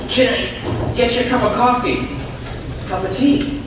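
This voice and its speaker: In a very echoey, noisy stage recording, a man plays an eager, newly sober ex-convict. He speaks slowly and haltingly, with emphatic, animated swings of pitch and grateful earnestness. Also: heavily acted. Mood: excited, eager. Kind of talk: community theatre stage acting. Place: indoor theatre stage, mic far off. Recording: distant, very echoey stage recording with clear noise.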